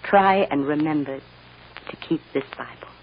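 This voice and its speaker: An actress playing a tender, sorrowful mother in a hushed, halting 1940s radio drama style. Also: low-pitched. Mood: earnest, loving. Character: tender, sorrowful mother comforting her small daughter. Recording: muffled, noisy old radio recording.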